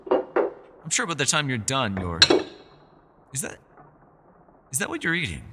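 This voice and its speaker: A man's voice: medium pitch, huge pitch swings, a little slow, big-room sound, very noisy. A man playing a mysterious, scholarly elf in a fantasy roleplay, with a refined, theatrical and teasing manner. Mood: firm, serious. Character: mysterious, scholarly male elf, playful yet guarded. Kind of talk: fantasy ASMR roleplay, scripted character acting.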